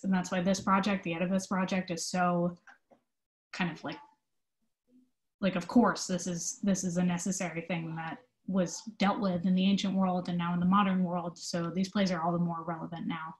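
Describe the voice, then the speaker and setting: A woman giving conversational interview answers as a theatre company manager, casual in her phrasing. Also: lowish voice.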